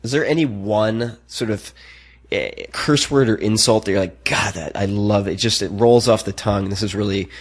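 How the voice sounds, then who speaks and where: A man hosting a podcast interview, asking questions in a measured, curious way with some hesitations. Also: on the low side. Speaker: podcast host. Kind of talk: interview questions and casual chat.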